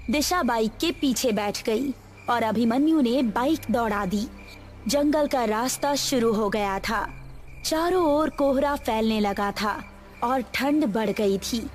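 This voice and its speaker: A woman narrates a scripted horror tale. Her voice sits a little high, and music plays beneath the narration. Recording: music behind the voice.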